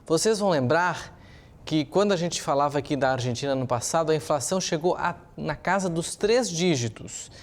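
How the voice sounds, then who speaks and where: A man's voice: fairly high pitch, expressive, normal speed. Brazilian male news commentator, opinionated and explanatory. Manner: opinionated, explanatory, uses rhetorical questions. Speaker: journalist, political commentator. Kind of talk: live news commentary, semi-improvised.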